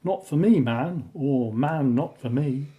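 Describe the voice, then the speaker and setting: A man's voice: medium pitch, animated, takes it slowly, narrow, tinny audio. A man playing an opinionated, wry settler in an audio drama, with a blunt way of talking. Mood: wry, emphatic. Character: opinionated, wry male settler living apart with abductees.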